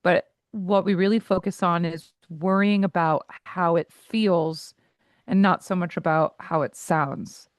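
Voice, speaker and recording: A woman in her forties, a voice coach and guest in an interview, who talks candidly in quick asides and laughs easily. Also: lowish voice, steady tone, no room sound, clean studio sound.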